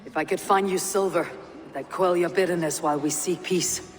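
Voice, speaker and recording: A woman playing a stern, steady Viking warrior in a video game, speaking in measured, formal, archaic phrases. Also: fairly low pitch, close to an everyday voice. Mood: measured, persuasive. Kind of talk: video game cutscene acting. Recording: reverberant hall with music behind.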